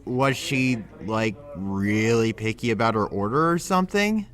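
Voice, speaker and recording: Guy acting as a fed-up but caring buddy, chiding, casual, bright forceful voice, kinda slow talker, audio drama. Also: fairly low pitch, lively intonation. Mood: incredulous, curious. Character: exasperated but well-meaning male friend, blunt and casual.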